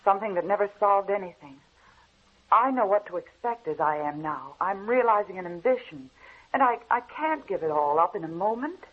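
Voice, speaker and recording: An actress playing a proud, ambitious young actress in a 1940s radio drama, speaking with poise and quiet resolve. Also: female voice, mid-range voice, expressive, normal speed, husky. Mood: earnest. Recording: muffled old radio broadcast.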